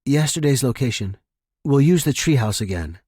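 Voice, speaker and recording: A man's voice: mid-range voice, lively intonation, moderate pace, darkish tone, breathy edge, no echo, studio quality. A man voicing an anxious male fugitive in a story, pleading and insistent as he bargains. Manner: eager, insistent, nervy. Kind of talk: story character dialogue.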